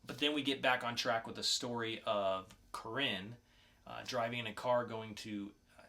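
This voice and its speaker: A man with a bright, forward voice co-hosts a podcast. He talks in an informal, conversational way, as in a casual review between two hosts. His pitch sits in an ordinary middle range for a man. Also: steady tone, moderate pace. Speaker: podcast co-host reviewing comics.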